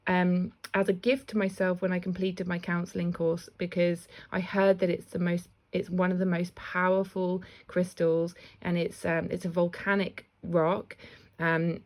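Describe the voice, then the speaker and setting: A woman with a slightly croaky voice gives a casual spiritual card reading, chatty and self-deprecating. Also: fairly low pitch. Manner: chatty, warm asides, often laughs at herself. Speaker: intuitive card reader.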